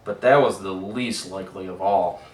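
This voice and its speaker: A man reading aloud and voicing a class of eager schoolchildren in high, loud voices, recorded in an echoey room away from the mic. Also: moderate pace, recorded from a distance, slight background noise, natural voice.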